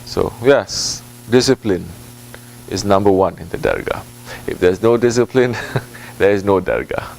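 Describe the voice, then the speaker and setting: Man giving a deliberate, halting spiritual talk. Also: lowish voice, creaky, a little mellow, a little hiss or hum, rough recording. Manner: deliberate, halting phrasing with repetition for emphasis; Arabic and Turkish religious phrases mixed into English. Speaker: Sufi religious teacher at a dargah. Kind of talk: informal sermon answering a question.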